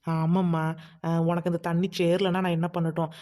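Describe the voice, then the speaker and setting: A woman's voice: on the low side, level tone, a little fast, warm tone, lightly acted. Woman playing a fussing kindly mother-in-law. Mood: tender, fretful. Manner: affectionate, anxious to please. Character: kind older village mother-in-law, fussing.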